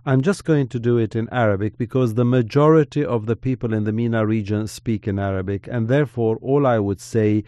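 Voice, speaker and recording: Casting: native Armenian speaker, male commentator, warm and dark tone, dry close sound, steady preacherly delivery. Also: mid-range voice, dry recording. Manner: measured, earnest, preacherly cadence. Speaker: podcast commentator on Middle East affairs. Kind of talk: podcast discussion.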